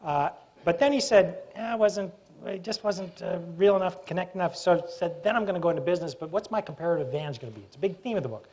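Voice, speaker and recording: A middle-aged man with a voice that sits a little high introduces and questions a guest on stage. He talks in a chatty, wry way, restarting sentences as he goes, picked up on a lapel mic in a university auditorium. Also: animated, fast talker, darkish tone. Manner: chatty, self-interrupting, wry. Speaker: moderator. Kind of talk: moderated stage conversation. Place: university auditorium with live audience.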